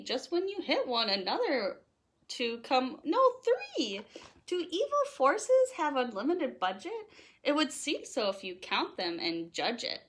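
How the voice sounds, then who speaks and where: A woman reading a children's picture book aloud in an animated, playful way, leaning on the rhymes. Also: high voice. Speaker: storytime host reading aloud.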